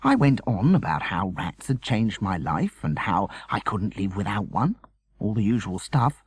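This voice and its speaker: A man's voice: on the low side, very animated, unhurried, breathy edge, no echo, heavily acted. A man voicing a persistent, cheeky young storybook boy who talks in an eager, pushy, frank way. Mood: puzzled, boastful.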